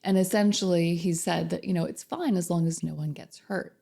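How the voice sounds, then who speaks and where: A woman coach with a slightly hoarse voice from a cold, chatting directly to viewers about relationships and asking them questions. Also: lowish voice, fairly even intonation, moderate pace, mellow. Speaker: narcissistic abuse recovery coach. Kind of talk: casual direct-to-camera advice talk.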